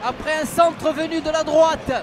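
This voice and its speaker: Male football radio commentator, very high voice, exuberant, over loud stadium crowd. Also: little pitch movement, moderate pace. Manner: exuberant, passionate, often shouting. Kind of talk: excited live match commentary. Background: noisy football stadium crowd.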